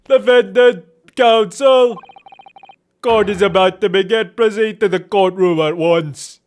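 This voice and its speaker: A man voicing a stern courtroom official who gives loud, clipped, formal orders. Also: high voice, unhurried, pitched above the performer's own voice. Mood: commanding. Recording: music behind the voice.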